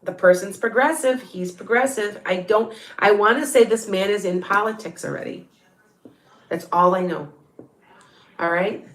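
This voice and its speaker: A woman's voice: medium pitch, normal speed, fairly bright tone, roomy sound, low-quality recording. A middle-aged woman with a Northern Illinois Chicago-area accent chats casually as a tarot reader answering viewer questions, rambling and restarting, often laughing. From Beloit, Wisconsin area, Midwest US. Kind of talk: casual livestream chat.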